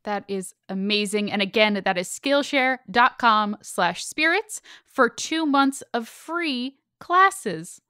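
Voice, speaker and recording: A woman's voice: on the high side, expressive, slow, hardly any pauses, pushed and bright, clear, resonant tone, dry recording, clean studio sound. A woman co-hosting a podcast, chatting casually and reading sponsor spots in an upbeat, playful, conversational way. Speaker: podcast co-host. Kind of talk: casual chatty ad read and banter.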